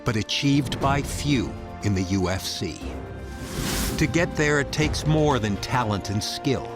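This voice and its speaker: A man with a mid-range voice reads a dramatic sports promo. His delivery is solemn, measured and grandiose, and music plays clearly behind him. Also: mid-range voice, animated. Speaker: promo narrator. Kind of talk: scripted dramatic promo narration. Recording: music bed under the voice.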